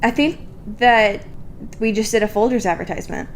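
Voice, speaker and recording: A woman's voice: a little high, normal speed, a little hiss or hum. A young adult woman co-hosting a podcast in a casual, comedic chat, informal and self-mocking, swearing freely. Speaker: podcast co-host.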